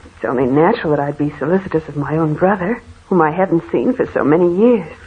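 Anxious wife on tinny old radio. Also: female voice, deep voice, quick pace, breathy edge. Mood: uneasy, reassuring. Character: anxious, solicitous wife and sister in a storm. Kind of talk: 1940s radio drama acting.

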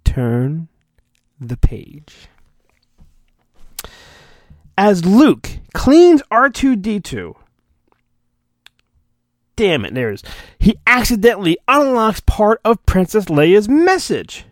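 Slow, theatrical man reading with lively swings. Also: sits high, no room sound, clean studio sound. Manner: theatrical, self-mocking, often breaks off to mutter. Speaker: podcast host and reader. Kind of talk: mock-dramatic storybook reading with joking asides.

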